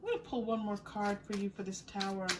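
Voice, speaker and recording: A woman's voice: medium pitch, fast talker. A woman giving a casual, improvised tarot reading, speaking directly to the listener in an encouraging, conversational way. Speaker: tarot reader hosting a reading. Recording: distant mic with faint noise.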